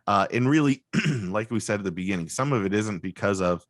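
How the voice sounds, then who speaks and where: Guest, middle-aged man, low voice, dry compressed mic, rambling storyteller. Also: a little fast, no echo. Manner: rambling, animated storyteller, many restarts. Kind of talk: casual chat, storytelling. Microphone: studio microphone with compression.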